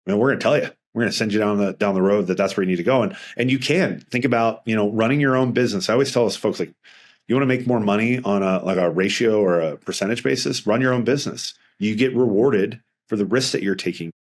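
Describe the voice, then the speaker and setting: A man's voice: fairly low pitch, fast. A middle-aged man speaking as a financial advisor in a casual interview, earnest and rambling with frequent restarts.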